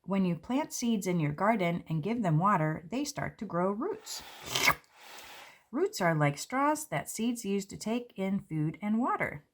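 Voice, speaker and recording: Gentle woman reading a picture book. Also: mid-range voice, sing-song, unhurried, a little hiss or hum. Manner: gentle, explanatory, with light fillers. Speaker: children's book author reading her own book. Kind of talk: read-aloud and book walkthrough. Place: indoors at a table.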